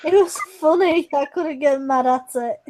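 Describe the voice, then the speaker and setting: A woman chatting casually in a group call, self-mocking and quick to laugh. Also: sits high, darkish tone. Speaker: group chat member.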